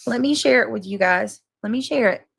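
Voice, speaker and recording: A woman's voice: on the high side, fairly quick, gravelly, breathy edge, dry recording, studio quality. A woman livestreamer talking off the cuff to her followers in a casual, defensive, emphatic and exasperated way. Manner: coarse, swearing. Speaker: livestream host addressing subscribers and moderators. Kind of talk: casual unscripted livestream monologue.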